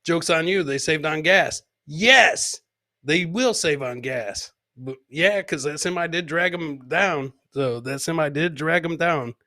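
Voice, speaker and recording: Male comedy podcast host: bright, punchy voice, dry sound, casual off-the-cuff commentary with repeated phrases. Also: fairly high pitch, unhurried, dry recording. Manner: loose, repeats his phrases, interjects. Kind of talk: casual live commentary on viral clips.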